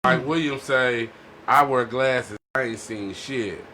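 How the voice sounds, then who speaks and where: A man's voice: medium pitch, slow pace, over a noisy crowd. An American man hosting a podcast in a slangy, conversational way. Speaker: podcast host reading out listener comments. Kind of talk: casual podcast chat. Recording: noisy background.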